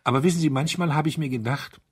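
A man voicing a mild, thoughtful priest detective in a quiet, firm manner for an audiobook. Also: fairly high pitch, quick pace, husky, voice pushed up. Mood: calm, reflective.

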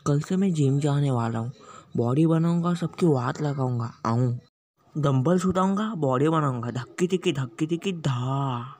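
A man's voice: fairly high pitch, moderate pace, darkish tone, natural voice. A man voicing a yelping boastful cartoon slacker. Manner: sing-song chanting, yelping catchphrase. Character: boastful lovesick young male slacker. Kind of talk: Hindi cartoon parody dub.

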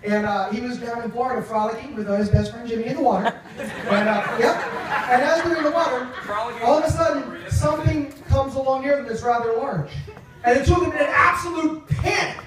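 A young man giving a humorous, teasing wedding toast as best man, telling stories haltingly into a handheld microphone in a tent. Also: extremely high pitch, a bit bright. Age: young adult. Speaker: best man, brother of the groom. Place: large wedding reception tent. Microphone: handheld microphone over speakers, filmed from a few metres away. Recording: echoey, distant, noisy event recording.

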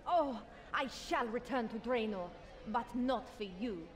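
High-voiced, defiant young heroine. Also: female voice, expressive, unhurried. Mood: defiant, angry. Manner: indignant, firm. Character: defiant, headstrong young daughter of spymaster. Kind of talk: video game voice acting. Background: music.

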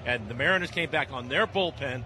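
A man's voice: medium pitch, fairly quick, noisy background. A man giving emphatic postgame analysis as a radio color commentator, repeating his points for stress.

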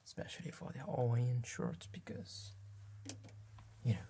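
A young man whispering gently and politely in an ASMR roleplay as a tailor, in a slow, measured, breathy voice close to the mic. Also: mid-range voice, expressive, noisy background. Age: young adult. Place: small indoor tailor workshop set.

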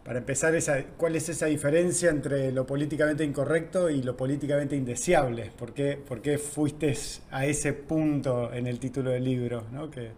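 A man's voice: medium pitch, fairly even intonation, normal speed, hardly any pauses, darkish tone, a little hiss or hum. A man in his forties with an Argentine accent, hosting a video call interview in a casual, hesitant way. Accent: Argentine Spanish. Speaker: podcast host. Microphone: video call with earbuds.

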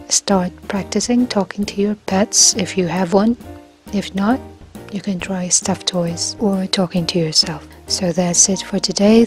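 Woman in her 30s, slow unhurried delivery, soft near-whispers, faint music under it. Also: on the low side. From Philippines. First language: Filipino. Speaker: language-learning vlogger. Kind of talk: slow reflective monologue.

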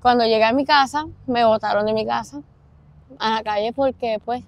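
A young woman with a Puerto Rican accent giving personal testimony in an interview, halting and pausing mid-sentence. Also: on the high side, fairly even intonation, dry recording, highs cut off. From Puerto Rico. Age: young adult. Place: outdoors in an open park.

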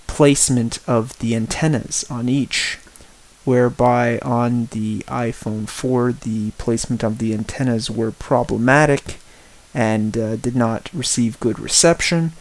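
A man giving a spoken personal-opinion tech review as a reviewer, in deliberate, halting phrases with emphatic repetition. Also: medium pitch, a little mellow, poor audio. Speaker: product reviewer.

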